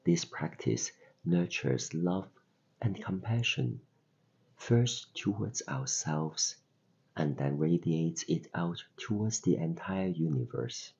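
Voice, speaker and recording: Very deep-voiced man guiding meditation slowly. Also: animated, husky, dull audio. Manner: gentle, soothing, deliberate phrasing with pauses. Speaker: meditation guide and podcast host. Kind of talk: guided meditation narration.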